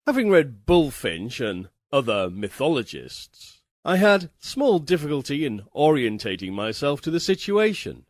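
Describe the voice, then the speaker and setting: A man reading a literary fantasy tale as dramatic narration, with a theatrical, deliberate and wryly formal delivery. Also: a little high, sing-song, dry recording. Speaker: audiobook reader.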